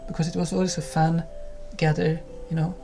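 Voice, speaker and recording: Gravelly-voiced Swedish man, calm. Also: fairly high pitch, a little fast, over music. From Sweden. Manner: calm, friendly, with small hesitations. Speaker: co-host of a cottage lifestyle channel. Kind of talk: casual chat to camera.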